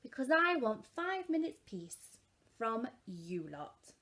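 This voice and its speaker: A woman's voice: a little high, sing-song, takes it slowly, a bit bright, full character voice. A woman voicing a weary, firm elephant mother in a storybook, exasperated and emphatic.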